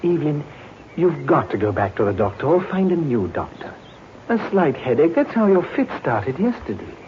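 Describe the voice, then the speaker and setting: Doting radio-drama husband: high, animated, coaxing, solicitous, on a dull vintage recording. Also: male voice, moderate pace. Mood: anxious concern. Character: outwardly doting, coaxing husband, secretly a wife murderer. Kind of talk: 1950s radio drama acting. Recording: muffled, echoey old radio recording, faint noise.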